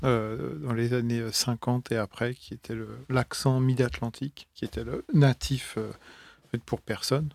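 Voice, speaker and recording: A man linguist answering interview questions in a halting way, with many filler pauses. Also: medium pitch, steady tone, gravelly, darkish tone, dry recording. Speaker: linguist guest.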